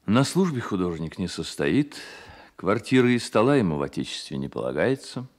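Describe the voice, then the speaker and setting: An actor playing an earnest, loyal young baron poet, speaking in a measured Soviet radio drama style. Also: male voice, on the low side, very animated. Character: earnest, loyal baron poet Delvig, man in his twenties.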